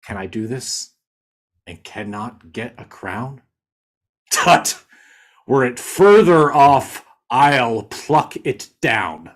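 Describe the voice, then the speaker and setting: A man's voice: medium pitch, expressive, extremely slow. A man playing a scheming, boastful nobleman hungry for a crown in a Shakespearean monologue. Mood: defiant ambition. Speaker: actor in a play reading.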